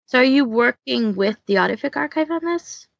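A woman's voice: high voice, lively intonation, normal speed, vocal fry, no echo. A woman co-hosting a casual podcast chat, heard on dull lo-fi audio. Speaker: podcast co-host.

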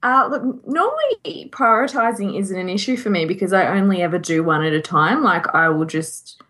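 A woman's voice: medium pitch, very animated, moderate pace, fairly bright tone. A woman podcast co-host talking casually, restarting and rephrasing her sentences as she goes. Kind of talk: casual group chat.

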